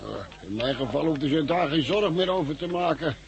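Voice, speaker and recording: A man's voice: on the high side, animated, fairly quick, vocal fry. An actor playing a self-reliant, tipsy blind man, sardonically polite, in a 1960s radio drama. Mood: wry, resigned. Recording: old radio recording with music behind.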